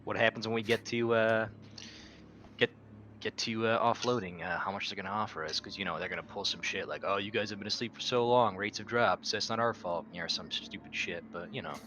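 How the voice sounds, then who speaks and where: Male roleplayer, fairly low but forward voice, laid-back salty chat, slight background noise. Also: bright, forceful tone. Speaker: player in a tabletop roleplaying game. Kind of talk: casual in-character game chat.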